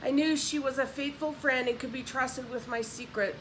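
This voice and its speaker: A woman narrates a book in a clearly high voice. Her reading is expressive and slips into casual explanation. The recording has a light layer of noise. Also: normal speed, low-quality recording. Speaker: audiobook narrator.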